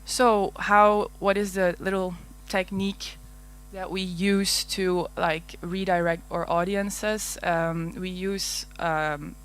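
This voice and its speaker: A woman's voice: on the low side, little pitch movement, slow pace, few pauses, clean tone. Nervous Flemish woman presenting at a conference. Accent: Flemish Dutch. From Belgium. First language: Dutch. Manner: nervous, hesitant, many fillers, light laughs. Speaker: conference speaker. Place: large darkened auditorium.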